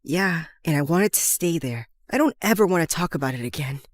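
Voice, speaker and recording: Lady acting as spirited teen girl in a story, breezy, blunt, very animated. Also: low-pitched, fairly quick, no echo. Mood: subdued. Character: spirited, casual, headstrong teenage schoolgirl.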